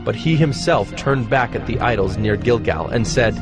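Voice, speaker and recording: A man narrating a dramatized Bible reading in a measured, solemn storytelling manner. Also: medium pitch. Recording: music behind the narration.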